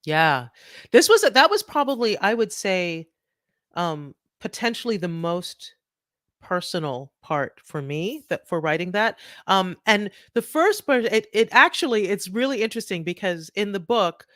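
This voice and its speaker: A middle-aged woman from Trinidad, a guest author talking in an animated way with many restarts and "right?" tags. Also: mid-range voice, measured pace, no echo, clean studio sound. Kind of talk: conversational interview.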